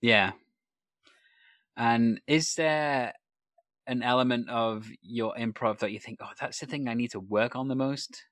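A man from North Wales with no Welsh accent, hosting a relaxed interview, thoughtful and a little hesitant, quick to laugh. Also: mid-range voice, no room sound. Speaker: podcast host. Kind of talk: conversational interview.

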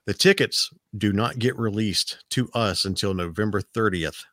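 A middle-aged man tells a long story on his podcast. His voice sits very low and has turned slightly raspy. He rambles, restarts sentences and repeats words. The sound is dry and close, with no room echo. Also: moderate pace, fairly bright tone. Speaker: podcast host. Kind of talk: casual storytelling chat.

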